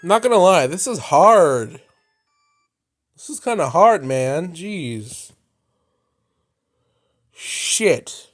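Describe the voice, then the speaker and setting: A man's voice: fairly high pitch, big pitch swings, extremely slow, pauses often. A man doing a visual novel let's play, reading narration aloud and reacting in slangy, exclamatory casual speech. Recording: prominent background music. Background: music.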